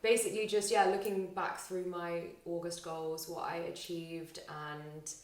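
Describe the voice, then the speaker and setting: A young woman vlogging casually to camera, chatty and rambling, her voice slightly husky and tired after a weekend out. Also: on the low side, level tone, a little slow, recorded from a distance. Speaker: vlogger and aspiring actor. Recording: roomy echo, faint music.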